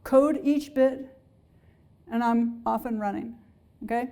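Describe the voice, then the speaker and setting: A woman's voice: fairly high pitch, takes it slowly, warm tone. A woman professor giving a public science lecture, explaining step by step and checking in with her listeners. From Alaska-based. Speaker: engineering professor. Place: lecture hall.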